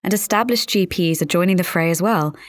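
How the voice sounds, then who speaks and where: Low-pitched woman hosting a podcast. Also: fairly quick, dry recording. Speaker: podcast host. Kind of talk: scripted podcast narration and interviewing.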